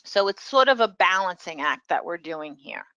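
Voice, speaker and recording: Bright-voiced woman with a New York accent. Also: on the low side, very animated, normal speed, no room sound, dull audio. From New York City. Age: middle-aged. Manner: explanatory, filler-heavy. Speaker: professor. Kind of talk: webinar presentation.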